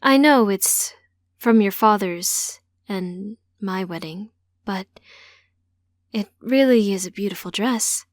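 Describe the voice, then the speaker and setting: A woman voicing a caring, gentle mother of a grown child in an audiobook story. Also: mid-range voice, slow, no echo, natural voice. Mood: eager.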